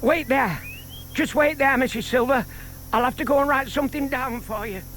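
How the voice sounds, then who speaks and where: An actor playing a shy, scheming older gentleman who explains things patiently and politely in a children's audio drama. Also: very high-pitched, normal speed. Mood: eager, polite. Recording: audible hiss from old cassette tape.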